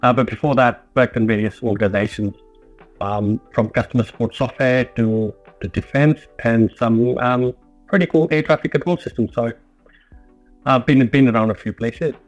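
A man speaking as a panel guest, relaxed and brief, with occasional filler sounds. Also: mid-range voice, normal speed, faint music behind, poor audio. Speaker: guest, platform lead. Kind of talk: casual panel discussion.

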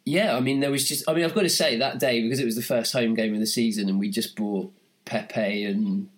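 A man's voice: medium pitch, steady tone, fairly quick. A man co-hosting a casual podcast, recounting a day out in a rambling way with dry humor. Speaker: podcast co-host. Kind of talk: casual chat.

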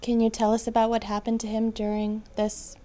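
A woman interviewer asking measured questions and narrating between answers. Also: fairly high pitch, completely flat delivery, dark tone.

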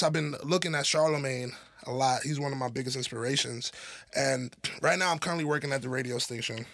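A man's voice: on the high side, vocal fry, sharp tone, dry recording. A man in his twenties co-hosting a podcast, chatting casually in a laid-back, slangy way full of "you know what I'm saying". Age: 20s. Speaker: podcast co-host. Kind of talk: casual chat.

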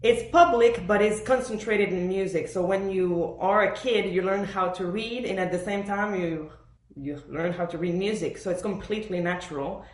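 A woman's voice: medium pitch, moderate pace. A woman from Argentina and Montreal speaking as an interview guest, telling personal stories conversationally and acting out quoted remarks. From born in Argentina, raised in Montreal, Canada. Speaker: podcast guest, professional orchestral bassoonist. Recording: echoey room sound.

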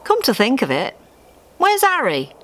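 A woman's voice: a little high, wildly swooping pitch, measured pace, fairly bright tone, pitched above the performer's own voice, exaggerated voice. A woman voicing a reassuring, homely mother duck with a colloquial manner, in a storybook tale. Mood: puzzled. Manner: colloquial, encouraging. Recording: live theatre recording with background noise.

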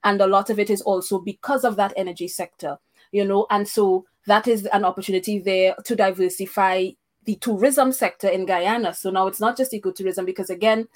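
A woman from Trinidad and Tobago hosting a discussion, hesitant, with many pauses and restarts. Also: medium pitch, fairly even intonation. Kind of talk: casual discussion.

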